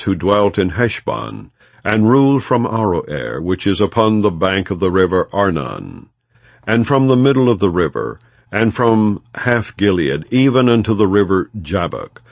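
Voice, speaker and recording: A man with a low voice narrates scripture as an audiobook. His phrasing is measured and solemn, yet his intonation moves widely within a plain, non-dramatized reading. The sound is dry and free of echo. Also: lively intonation.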